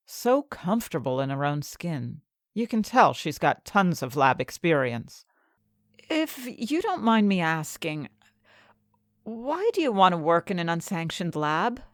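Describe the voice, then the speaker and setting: An actress playing an earnest, anxious young woman chemist in a fantasy audio drama, confiding her own story in an expressive way. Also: fairly low pitch, takes it slowly, no echo, studio quality. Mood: guarded, intrigued. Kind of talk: first-person scripted narration in fantasy audio drama.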